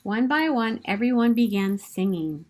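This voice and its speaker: A woman reading a children's picture book aloud in an expressive, emphatic storytelling manner. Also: on the high side, normal speed, a little hiss or hum.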